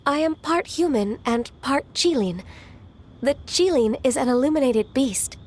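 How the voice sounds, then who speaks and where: A woman playing a gentle, polite and earnest young half-human secretary in an anime video game. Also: a little high.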